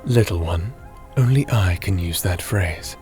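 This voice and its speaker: Man playing a very deep, slow, grave ancient being. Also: animated, warm tone, faint music behind. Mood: grave, patient. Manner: measured, deliberate, formal. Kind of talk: audio roleplay voice acting.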